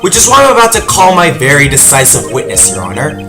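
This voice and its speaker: A man's voice: mid-range voice, huge pitch swings, quick pace. A man playing a confident young prosecutor with a polished, teasing manner in a video game fandub, with music behind. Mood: smug, assured. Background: prominent music.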